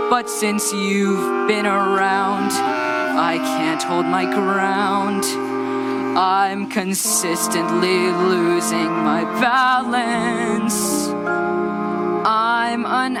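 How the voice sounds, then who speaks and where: A young actress playing a clumsy, cheerful groom. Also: female voice, mid-range voice, very slow, loud music behind the voice. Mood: singing. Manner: breezy, chatty, bursting into song. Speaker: child actor in a musical.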